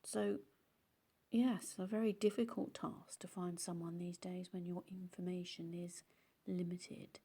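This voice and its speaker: A woman's voice: lowish voice, level tone, mellow, slightly rough. A woman with an English accent playing a solitary, wistful woman in an audio play monologue, confiding and reflective. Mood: rueful. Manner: musing, reflective, with tag questions and pauses. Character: solitary, wistful adopted woman searching for her birth mother.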